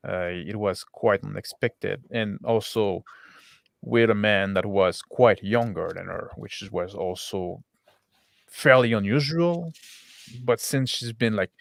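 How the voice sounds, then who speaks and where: A man improvising as game master in tabletop roleplay, halting and often repeating and rephrasing his words. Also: fairly low pitch, creaky, dry recording, clean studio sound.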